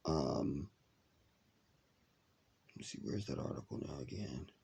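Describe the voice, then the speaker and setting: Congested male vlogger, deep husky voice, slow rambling delivery. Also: lively intonation, dark tone. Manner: rambling with many ums, drawn-out words. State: congested from a cold. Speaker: video reaction host. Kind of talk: casual live reaction vlog.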